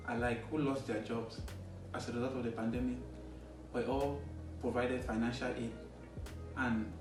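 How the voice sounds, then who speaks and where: A man studying software development, giving a measured, formally worded account. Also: medium pitch. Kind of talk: prepared personal statement. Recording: roomy, distant mic with music.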